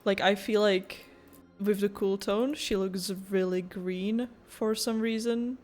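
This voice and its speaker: Casting: informal young female gaming creator, average pitch, chatty and loose, light music underneath. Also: fairly even intonation, slow, a little mellow. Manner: rambling, informal, giggly. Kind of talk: casual playthrough commentary.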